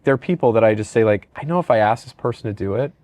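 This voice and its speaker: A man's voice: on the low side, animated, racing, darkish tone, no echo. A middle-aged man hosting a conversational podcast interview, casually asking questions and rambling through anecdotes. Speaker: podcast host, company leader.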